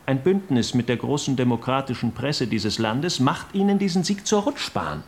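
An actor playing a slick, insistent political fixer in a radio drama, persuasive and grandly rhetorical. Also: male voice, mid-range voice, expressive, moderate pace, lightly acted. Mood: smooth pressure. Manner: rhetorical, emphatic, pronouncing slogans. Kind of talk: German radio drama acting.

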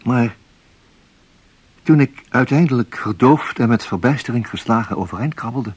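An actor playing a dazed, horrified man narrating a nightmare in a radio play, hushed, husky and haunted. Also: medium pitch, normal speed, natural voice.

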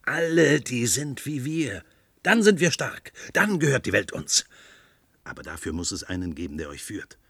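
An actor in a radio play playing a small, pompous, cowardly would-be dictator who commands and barks slogans. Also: male voice, medium pitch, big pitch swings, moderate pace, a bit bright, slightly rough, full character voice. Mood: rousing, conspiratorial.

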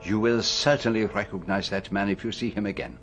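An actor playing a courteous, commanding middle-aged Belgian detective in a radio drama, with a French-speaking accent and deliberate, theatrical delivery. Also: male voice, fairly low pitch, fairly even intonation, fairly bright tone, faint music behind. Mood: assured, philosophical.

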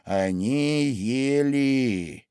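A man voicing a sleepy little male dormouse, hoarse with sleep and drawling very slowly. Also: medium pitch, darkish tone, no echo, clean studio sound, pitched above the performer's own voice. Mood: drowsy. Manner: very slow, drowsy drawl.

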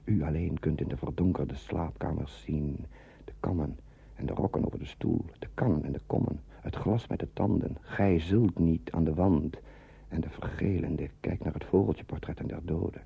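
Hushed, very husky poetic narrator. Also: male voice, extremely low pitch, full character voice. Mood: hushed, intimate. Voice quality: husky, gravelly. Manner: slow, hushed, incantatory. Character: hushed poetic male narrator of a sleeping town. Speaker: narrator in a radio play. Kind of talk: slow poetic radio drama narration. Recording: dull vintage radio recording.

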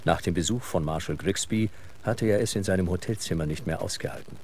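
A man narrating a German radio drama as a composed storyteller, reading in a measured, dry, literary manner. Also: sits low, a little mellow, slight background noise. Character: composed literary male narrator of a Western mystery.

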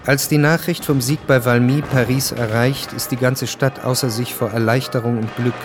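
An actor narrating a historical radio drama as a sober storyteller, measured and matter-of-fact. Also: male voice, medium pitch, steady tone, music in the background, lightly acted.

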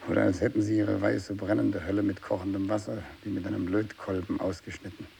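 An actor playing a tormented, bitter, fanatical renegade missionary in a radio drama monologue, brooding and intense, moving between hushed speech and anguished outbursts. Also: male voice, lowish voice, a little fast, gravelly. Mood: haunted, brooding. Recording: steady tape hiss.

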